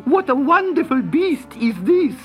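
A man voicing an excitable young princess, the Sultan's daughter, with a shrill, theatrical delivery in a storybook tale. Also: measured pace, faint music behind, heavily acted. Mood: amazed, shrieking. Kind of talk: storybook character voice.

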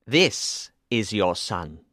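A woman's voice: rumbling low, sing-song, a bit bright, dry recording, studio quality, voice pushed down, heavily acted. A woman voicing a stern, knowing old male wizard in a storybook, speaking in a solemn, pronouncing way. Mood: ominous, declaring. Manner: slow, grave, pronouncing. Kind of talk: storybook character voice.

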